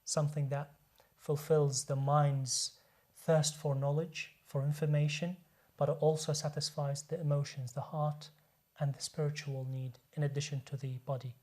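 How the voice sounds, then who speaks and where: A man's voice: a little high, level tone, moderate pace, warm tone. A man who works as a doctor, speaking as a guest in a studio discussion and explaining his points earnestly, often repeating words as he restarts a sentence.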